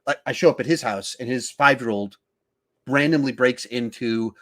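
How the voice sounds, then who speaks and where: A man's voice: mid-range voice, normal speed, a bit bright. A man, hosting a podcast and talking film in a casual, conversational style. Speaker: podcast host.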